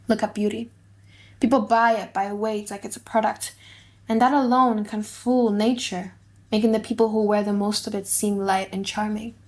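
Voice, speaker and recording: An earnest young suitor, played by a woman in a dramatic audiobook. The lines come in short, emphatic phrases with deliberate pauses. The pitch sits in a middle range. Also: a little mellow, close to an everyday voice. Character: earnest young male suitor musing gravely, then smitten. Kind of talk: dramatic audiobook reading in modern English.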